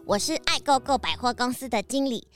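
A woman's voice: on the high side, lively intonation, fairly quick, fairly bright tone, soft background music. A woman playing a polite, easily flustered sheep store manager who bleats as she talks, in a children's audio drama. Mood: polite, eager. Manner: bleats before speaking.

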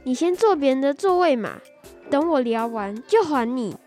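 A high child's voice of a boy acting plays a rude, teasing schoolboy in a radio play. He mocks his classmates over faint music. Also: lively intonation, normal speed, a little mellow. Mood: dismissive.

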